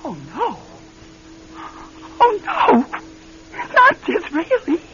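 An actress playing a skeptical, high-strung married woman in an old radio drama, halting and emphatic when upset. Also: sing-song, full character voice. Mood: distressed. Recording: muffled, noisy vintage radio recording.

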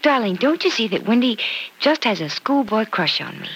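Animated, earnest young sweetheart. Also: female voice, a little high, normal speed, breathy edge, full character voice, low-quality recording. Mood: soothing. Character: kind, patient but stubborn young sweetheart. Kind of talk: 1940s radio soap opera acting. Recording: old radio drama recording.

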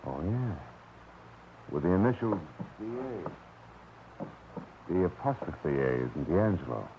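A middle-aged actor playing a hard-boiled, wisecracking private detective in a 1940s radio drama, with a dry, sardonic delivery. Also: male voice, sits low, sing-song, unhurried, a little hiss or hum, full character voice. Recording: tinny old radio broadcast with music.

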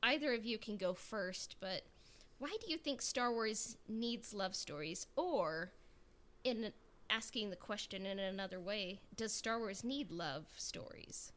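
A woman's voice: mid-range voice, a little slow, a bit bright. A woman hosting a casual podcast chat, rambling and peppering her talk with "like" and "you know". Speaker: podcast host.

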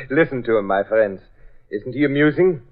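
A man's voice: mid-range voice, animated, a little slow, natural voice. A man playing a menacing Nazi saboteur ringleader with mocking, pompous, formal speech in a 1940s radio drama. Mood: smug, menacing. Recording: tinny vintage radio recording.

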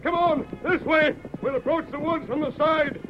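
A man's voice: extremely high pitch, normal speed. An actor playing a commanding masked lawman hero in an old-time radio western, speaking with declamatory authority. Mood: urgent, confident. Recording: dull, noisy old radio recording.

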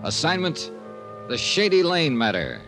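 Hard-boiled American investigator, dry and clipped yet animated, vintage radio drama with music in places. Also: male voice, a little high, measured pace, heavily acted. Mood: narrating, deliberate. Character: brisk, wry freelance insurance investigator, adult man. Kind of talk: 1950s radio detective acting, first-person narration.

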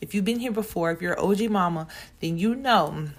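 A woman's voice: on the low side, brisk. A woman of thirty hosting a podcast, talking in a chatty, rambling way straight to other moms. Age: 30s. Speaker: podcast host. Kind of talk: casual solo podcast talk.

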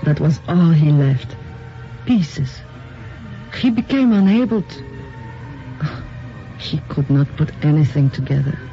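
A woman's voice: low voice, animated, slow pace, pauses often, dark tone, heavily acted. Stoic mexican widow, takes her time, grave and blunt, radio play, muffled sound. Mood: guarded. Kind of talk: radio drama acting. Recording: muffled lo-fi radio drama, faint music.

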